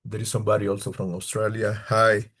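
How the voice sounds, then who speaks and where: A man from Ecuador with an Ecuadorian Spanish accent, giving an informal online slide talk in halting non-native English as a tour leader. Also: lowish voice, little pitch movement, a bit bright, slightly rough. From far south Ecuador. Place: indoors on a video call.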